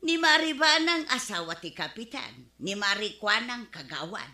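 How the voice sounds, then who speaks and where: A woman's voice: mid-range voice, sing-song, moderate pace, bright, forceful tone. An actress playing an envious, quarrelsome village woman in a Filipino comedy radio drama, with an Ilocano accent and an emphatic delivery. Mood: scheming. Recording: roomy, echoing studio sound.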